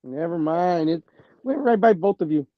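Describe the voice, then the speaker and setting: A man's voice: on the high side, expressive, normal speed, no room sound, highs cut off. A man co-hosting a casual online chat, teasing and blunt, swearing freely. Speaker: co-host.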